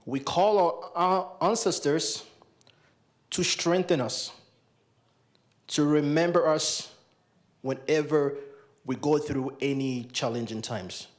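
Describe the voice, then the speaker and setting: Brief: young man from Ghana, solemn ceremonial libation leader, slightly higher voice, handheld mic. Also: takes it slowly, pauses often. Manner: solemn, repetitive invocations. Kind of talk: ceremonial address. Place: large indoor hall.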